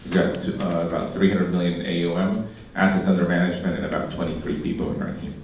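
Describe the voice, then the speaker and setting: A man from the Philippines speaking on a conference panel in a measured way, repeating words for emphasis. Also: deep voice, little pitch movement, creaky, darkish tone, muffled recording, slight background noise. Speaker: panelist, venture capital founder. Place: conference stage in a large hall. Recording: echoey hall, distant mic.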